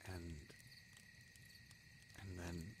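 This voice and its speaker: A man playing a bitter, wounded young spider prince in a fantasy audio drama, speaking in halting, emotional phrases with heavy pauses. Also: low-pitched, level tone, background noise. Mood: subdued, resigned. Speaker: voice actor in an audio roleplay.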